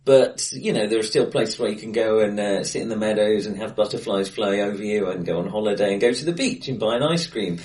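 A man's voice: lowish voice, fairly quick, few pauses, a little mellow, recorded from a distance. A man co-hosting a film podcast in casual chat, hesitant with lots of fillers and laughs. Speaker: podcast co-host. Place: acoustically bright room, recorded face to face. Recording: roomy, off-mic sound.

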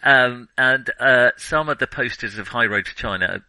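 A man's voice: mid-range voice, normal speed, bright, forceful tone, dry recording, rough recording. A man co-hosting a casual film discussion, talking in a tentative, discursive way and qualifying his points as he goes. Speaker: podcast co-host.

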